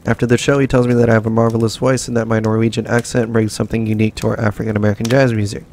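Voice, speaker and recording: A man hosting a let's play, reading in-game notes slowly and evenly between short casual asides. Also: on the low side, flat delivery, a little mellow, a little hiss or hum. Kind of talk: casual gameplay commentary and reading notes aloud.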